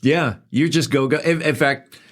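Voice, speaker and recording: A man's voice: on the high side, moderate pace. A man hosting a podcast in a studio, interviewing in a casual, conversational way. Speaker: podcast host.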